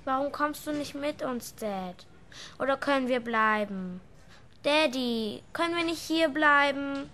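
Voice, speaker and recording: A woman's voice: high-pitched, animated, unhurried, sharp tone, slight background noise, heavily acted. A female performer playing a frightened, pleading little girl in a radio horror drama, plaintive and whimpering. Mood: pleading, scared.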